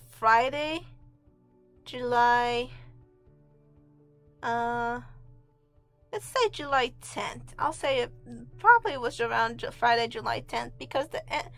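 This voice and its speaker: A woman's voice: on the high side, a bit bright, dry recording. A woman chats over faint music in a homemade voice-over. She rambles casually, sounding offhand and self-deprecating, and swears in passing. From Mexico. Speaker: video artist and host. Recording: amateur home recording with music underneath.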